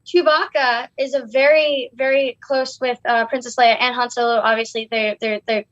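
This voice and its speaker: A woman's voice: a little high, little pitch movement, normal speed, fairly bright tone. A young woman in casual, opinionated chat with a hesitant, rambling delivery. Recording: dull, roomy sound.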